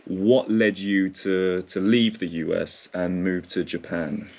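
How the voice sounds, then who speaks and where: A man's voice: sits low, fairly even intonation, slow pace, creaky, a little mellow. A man hosting a casual podcast interview, upbeat and playful as he introduces and questions his guest. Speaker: podcast host.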